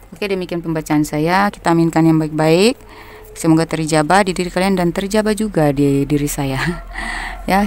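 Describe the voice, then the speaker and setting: A woman from North Maluku with her regional accent, giving a gentle, unhurried tarot reading as its host. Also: on the low side, a little hiss or hum. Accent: North Maluku Indonesian. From North Maluku, Indonesia. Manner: gentle, unhurried, reassuring, with soft laughs. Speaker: tarot reader. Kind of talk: casual tarot reading monologue.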